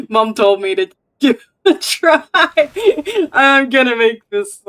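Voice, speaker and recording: A woman streamer in the middle of a gameplay livestream, talking slowly and with plenty of giggles as she reads the dialogue choices out loud. Her voice has a middling pitch. The sound is dry and close to the mic. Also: big pitch swings, no echo. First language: not Russian.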